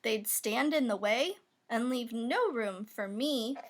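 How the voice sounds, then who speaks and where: A high-voiced woman reads a children's picture book in rhyme. She takes it slowly, with big swoops in pitch and playful, expressive emphasis on the sound words. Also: a little hiss or hum. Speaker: storybook reader. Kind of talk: children's picture book read-aloud, rhyming verse.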